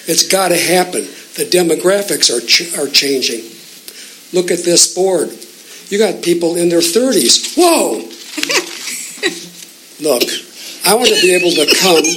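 An older man near eighty speaks up at a community meeting, blunt and exasperated as he urges action. His voice sits a little high for a man, with a faint hiss underneath. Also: a bit bright, poor audio. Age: 70s. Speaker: public commenter. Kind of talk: public comment at a community meeting.

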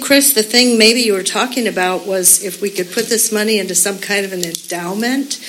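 A woman's voice: mid-range voice, fairly bright tone, a little hiss or hum, rough recording. A woman giving an earnest, rambling public comment at a community meeting, with many fillers.

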